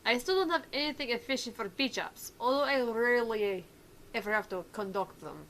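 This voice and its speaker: A woman's voice: on the high side, fairly quick, a bit bright. Woman playing a shy, flustered tank girl, noisy recording. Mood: prim, formal. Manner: stammering bashfully, then stiffly formal.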